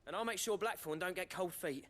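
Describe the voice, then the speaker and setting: An actor playing a friendly, plain-spoken rebel comrade, chummy and coaxing, in a video game. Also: male voice, high voice, brisk, fairly bright tone. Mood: cheerful.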